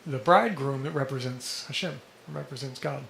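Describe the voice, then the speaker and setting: A man teaching a study group, explaining texts and often checking in with listeners, a little hoarse and clearing his throat. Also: mid-range voice, fairly quick. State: slightly hoarse, coughing. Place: indoor room with a vent. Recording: echoey room with background noise.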